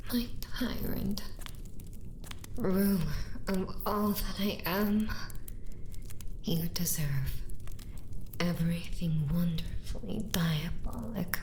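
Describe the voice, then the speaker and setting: A woman voicing a doting, bloodthirsty villain wife in a theatrical, breathy ASMR roleplay, often whispering and savoring florid words close to the microphone. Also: lowish voice, a little mellow, slight background noise. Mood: tender devotion. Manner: florid, theatrical, adoring, savoring each word. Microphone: close binaural microphone.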